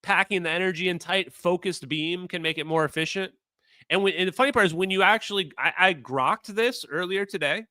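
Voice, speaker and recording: A man's voice: sits high, a little fast, bright, forceful tone, dry recording. A man from Minnesota with a Minnesota accent, hosting a livestream and talking casually, rambling with fillers and repeated phrases. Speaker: streamer host.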